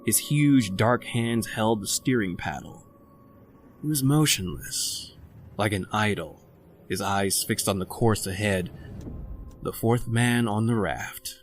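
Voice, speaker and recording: A deep male voice of an actor playing a self-possessed convict doctor in an old-time radio drama. He is formal, eloquent and theatrical, narrating and speaking like a man of the world. Soft music runs underneath. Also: slow. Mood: grave, ominous.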